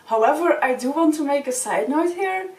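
A woman's voice: sits high, animated, normal speed. A young woman whose first language is Dutch, giving earnest, friendly advice straight to the camera in a small wood-panelled room. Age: young adult. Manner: friendly, earnest, gestures with open hands. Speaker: vlogger. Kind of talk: casual advice talk to camera. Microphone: camera a few feet away. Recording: roomy sound from a distant mic.

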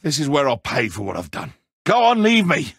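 Petulant fallen game villain, high gravelly voice, super melodramatic, draws words out. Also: male voice, wildly swooping pitch, fairly bright tone, no echo, heavily acted. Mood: resigned, guilty. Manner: melodramatic, drawn-out, emphatic. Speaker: video game voice actor. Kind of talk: dramatic animated game acting.

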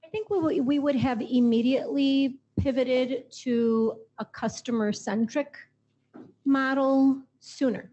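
A woman with a slightly high, husky voice answers a panel question on an auditorium stage. She talks in a measured, thoughtful way and strings her points into long lists. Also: steady tone, mellow, dull audio. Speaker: panelist, association executive.

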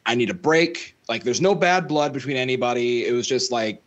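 A man's voice: medium pitch, animated, brisk, highs cut off. A young man talking as a guest musician on a podcast over a video call, rambling with fillers and restarts. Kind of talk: casual interview. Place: home room. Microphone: studio mic close, headphones on.

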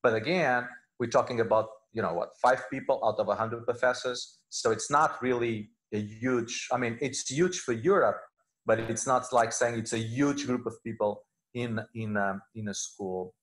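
A man's voice: mid-range voice, moderate pace. Middle-aged man with a Portuguese accent. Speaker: law professor, interview guest. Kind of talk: conversational interview answers. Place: indoor room, video call. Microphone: wired earphones on a video call.

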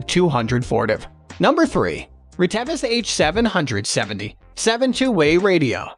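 A man with a high-pitched voice reads a scripted product review. His intonation moves up and down in a lively way, though the delivery is stiff and read out. Music plays behind him. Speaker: narrator of product review videos.

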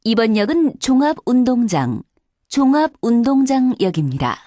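A woman's voice: on the high side, big pitch swings, moderate pace, warm tone, no echo, studio quality. A woman reading formal scripted subway announcements in a polite, carefully enunciated way.

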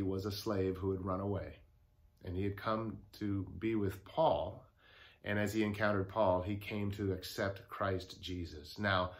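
Older man, pastor, low voice, devotional talk, lapel mic, study at home. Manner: earnest, warm pastoral address with pauses. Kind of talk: daily devotional message.

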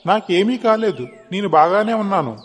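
A man playing a loyal, calm and humble royal minister, respectful and reassuring, in an animated moral story. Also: high-pitched, moderate pace. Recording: long hall-like reverb.

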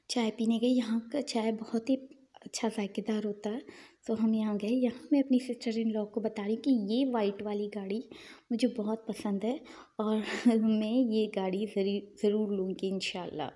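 A young woman narrating her day in a chatty, unhurried voice-over, with small fillers and pious asides. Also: mid-range voice, warm tone. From Pakistan. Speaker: vlogger.

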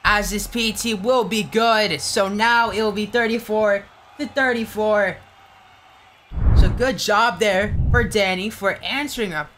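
A tired young man doing casual, rambling play-by-play commentary for an online game league, getting excited on big plays. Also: high voice, slight background noise.

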